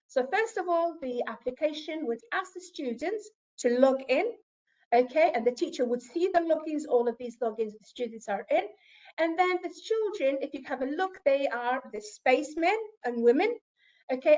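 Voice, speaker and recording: A woman's voice: high-pitched, muffled recording. A woman whose first language is Arabic, giving an academic conference presentation in English and explaining with asides to the audience.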